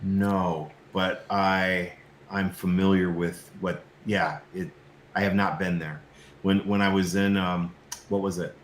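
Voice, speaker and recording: A man speaking as a guest in a casual interview, earnest, often repeating words as he starts his sentences. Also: deep voice. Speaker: guest filmmaker. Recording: roomy echo, background noise.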